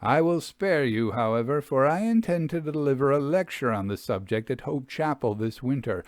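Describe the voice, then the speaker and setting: A man voicing a confident, confiding Victorian gentleman who investigates ghosts, speaking formally and deliberately in a storybook reading. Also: medium pitch, lively intonation, no room sound, clean studio sound, natural voice. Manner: formal, deliberate, addressing the reader.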